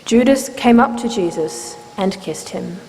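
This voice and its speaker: A woman narrating scripture in a measured, formal storytelling style. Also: mid-range voice, animated, dark tone, soft background music. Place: church. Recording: reverberant church hall, background noise.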